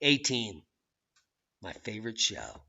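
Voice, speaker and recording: A man hosting a channel, reading news and weather maps with sarcastic, profane commentary that swings from low mutters to loud outbursts. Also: wildly swooping pitch, slow, creaky, slightly rough, lo-fi sound. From United States. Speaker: channel host.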